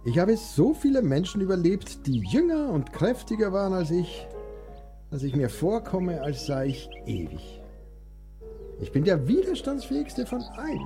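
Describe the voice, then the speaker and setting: A man acting a sickly, melancholic and capricious young composer in a German radio play, theatrical, drifting between languor and excitement. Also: high voice, moderate pace, exaggerated voice. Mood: excited, boastful. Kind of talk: German audio drama acting. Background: music.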